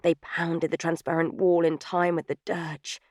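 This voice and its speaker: Female audiobook narrator: slightly low voice, scripted storytelling, close and dry studio sound. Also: level tone, unhurried, darkish tone, dry recording. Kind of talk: scripted third-person fiction narration.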